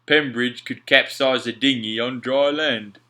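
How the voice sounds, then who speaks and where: A man voicing an excitable, earnest common seaman with rough talk in a storybook reading. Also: mid-range voice, measured pace, pitched above the performer's own voice. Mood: emphatic.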